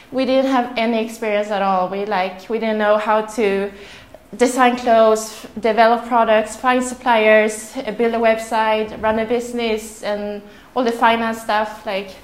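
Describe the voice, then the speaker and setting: A woman's voice: a little high, steady tone, a little slow, roomy sound. A young woman with a Swedish accent, giving a nervous, chatty talk to a live audience and laughing often. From Sweden. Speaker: speaker. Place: room with audience.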